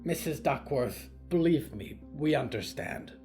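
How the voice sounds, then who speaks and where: A man's voice: fairly high pitch, expressive, measured pace, darkish tone, soft background music, voice pushed up. A man voicing a diplomatic woman scout leader in an audio drama, soothing, polite and earnest. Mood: placating. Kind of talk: audio fiction story acting.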